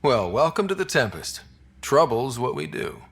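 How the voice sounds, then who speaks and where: A man plays a young, easygoing explorer leading a crew in a sci-fi game. His mid-range voice moves up and down in a lively way. He is casual and wry, with long reverb around him. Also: mid-range voice, normal speed, close to an everyday voice. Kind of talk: video game dialogue acting.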